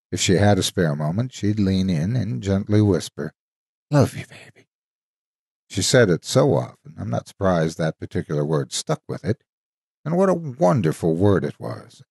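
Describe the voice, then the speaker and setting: A man narrating a dramatic story as a devoted, wistful ghostly guardian, an old soldier reminiscing tenderly in a husky, folksy way. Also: sits low, a little mellow, dry recording, studio quality, exaggerated voice. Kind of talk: dramatic first-person horror storytime narration.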